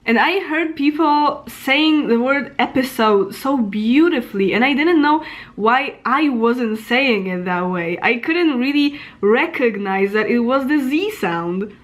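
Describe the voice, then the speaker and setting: A woman in her twenties with a Polish accent, chatting informally and self-deprecatingly as she muses aloud. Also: on the high side. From Poland. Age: 20s. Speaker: vlogger. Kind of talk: casual chat about pronunciation.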